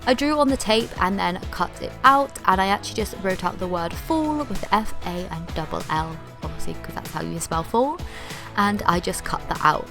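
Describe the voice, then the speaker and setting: A woman's voice: fairly low pitch, normal speed, creaky, music in the background. A young woman vlogging a DIY tutorial in a casual, upbeat way, full of "like" fillers, while she is losing her voice. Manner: chatty, upbeat, lots of "like" fillers.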